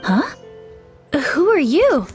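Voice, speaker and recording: A woman voicing an earnest young warrior in training in a game, lively and quick to surprise. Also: extremely high pitch, music in the background, heavily acted.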